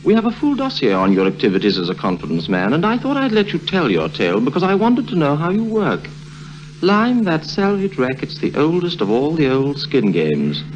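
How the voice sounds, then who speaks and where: A pompous colonial police chief, drily polite and condescending. His voice is fairly high and swoops widely, in vintage radio drama style over faint hum and noise. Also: wildly swooping pitch, a little fast. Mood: dry, superior. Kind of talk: 1950s radio drama acting. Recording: noisy, humming vintage radio recording.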